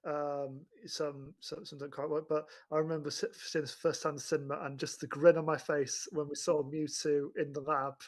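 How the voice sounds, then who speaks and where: A man co-hosting a casual film chat, speaking haltingly with repeated words and an enthusiastic manner. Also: a little high, level tone. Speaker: podcast co-host.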